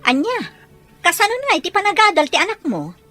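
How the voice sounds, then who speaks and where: An actress playing a blunt, reproachful older sister in a radio drama. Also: female voice, extremely high pitch, huge pitch swings, a little fast, bright, forceful tone, faint music behind, heavily acted.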